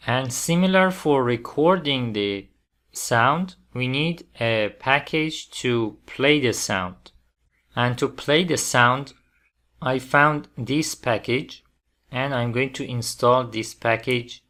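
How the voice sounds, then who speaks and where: A man presenting a programming tutorial step by step, phrasing things deliberately in short, halting fragments. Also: medium pitch, fairly bright tone. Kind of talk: step-by-step software tutorial narration.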